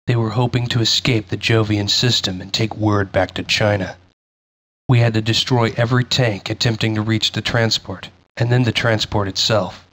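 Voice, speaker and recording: A grave male soldier tells the story of a past war in a low voice. His delivery is solemn and measured, like scripted game cutscene narration. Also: steady tone, fairly bright tone. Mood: grave, reflective.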